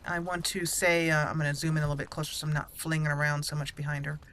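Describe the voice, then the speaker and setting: A woman's voice: sits low, level tone, fast talker, a bit bright, slight background noise. A woman hosting a roleplay gameplay video, chatty and friendly, laughing easily as she talks her viewers through the game. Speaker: gaming channel host. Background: game music.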